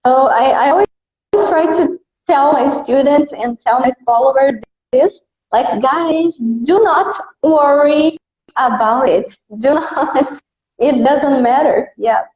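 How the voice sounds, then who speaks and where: A Brazilian woman with a high voice and a Brazilian Portuguese accent talks in a relaxed, easygoing way, leaning on "like" and "uh". The sound is roomy and a little dull. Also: slow pace. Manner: relaxed, easygoing, frequent fillers like "like" and "uh". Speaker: English teacher, guest. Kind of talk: casual interview chat.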